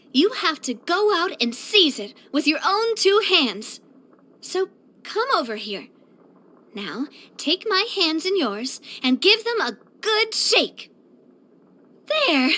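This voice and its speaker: A woman's voice: sits high, full character voice. An actress playing a spirited, proud and affectionate young woman boss in a video game, with a theatrical, bubbly delivery. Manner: theatrical, expressive, bubbly, with playful grandiosity. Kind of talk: scripted video game character voice lines.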